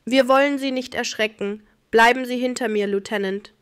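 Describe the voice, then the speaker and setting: A composed female starship captain played by a woman in a children's audio story. Her voice is smooth and clear with little pitch movement, formal and diplomatic, recorded dry. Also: fairly even intonation, normal speed, no room sound, lightly acted. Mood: calm caution.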